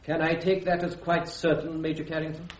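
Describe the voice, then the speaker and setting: Man playing polite but commanding british court president, measured n fussy, radio play, echoey slightly dull recording. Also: a little high, little pitch movement, slight background noise, lightly acted. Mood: authoritative. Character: courteous, fussy, authoritative male presiding officer of military court. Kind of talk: radio courtroom drama acting.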